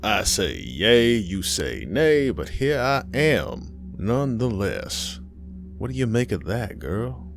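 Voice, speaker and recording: Man voicing a deep, sly ancient demoness. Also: sing-song, gravelly, faint music behind, heavily acted. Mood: coaxing. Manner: taunting, theatrical, drawn-out phrasing. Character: sly ancient female demon wearing a mother's face.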